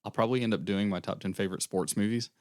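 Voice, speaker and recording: Young male podcast co-host, low and slightly hoarse, casual rambling delivery, dry close sound. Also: fairly even intonation, racing, dry recording. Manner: rambling recap with fillers. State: losing his voice, airy. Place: small podcast room.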